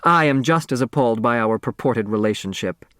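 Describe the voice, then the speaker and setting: A man's voice: mid-range voice, lively intonation, fairly quick, a bit bright, no echo, clean studio sound, lightly acted. A man voicing a dignified, indignant female judge with a formal, biting manner in an audiobook. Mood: biting dignity.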